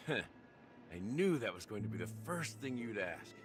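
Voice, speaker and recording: Game role: smug theatrical trickster, slow, very animated pitch. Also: male voice. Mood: teasing, smug. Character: teasing, sly, theatrical trickster man. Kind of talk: video game voice acting. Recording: music underneath.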